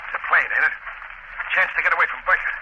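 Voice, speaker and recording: A man's voice: a little high, quick pace, sharp tone. A male actor playing a nervous, impatient gangster henchman in a 1940s style radio drama. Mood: edgy. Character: jittery, impatient gangster henchman turning on his boss. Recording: muffled, noisy old-time radio recording.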